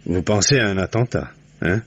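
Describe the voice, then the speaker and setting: A man's voice: deep voice, moderate pace, slightly rough. A man playing a shrewd, patient police commissioner in a French radio mystery, questioning in a probing, paternal, conversational way with muttered prompts. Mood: probing, unhurried. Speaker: actor in a radio mystery play. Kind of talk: 1950s French radio drama acting. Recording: muffled old radio recording.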